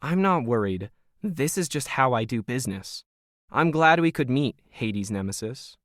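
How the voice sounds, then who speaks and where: A man's voice: mid-range voice, expressive, normal speed, fairly bright tone, no room sound, natural voice. A male narrator plays a bold woman hacker in an audio story. She is controlled and defiant, keeping things businesslike and guarded. The recording is dry. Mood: guarded, polite. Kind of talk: audio fiction character dialogue.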